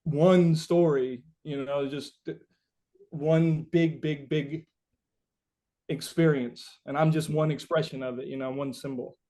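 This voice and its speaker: A man's voice: on the high side, fairly even intonation, takes it slowly, warm tone. A man being interviewed as a musician, talking reflectively and philosophically, circling back and repeating his phrases as he goes. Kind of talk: reflective, rambling interview conversation.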